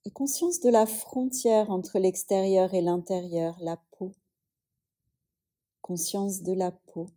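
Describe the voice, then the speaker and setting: A woman yoga teacher leading a guided relaxation in a soothing, unhurried way, leaving long pauses between phrases. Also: medium pitch, steady tone, dark tone.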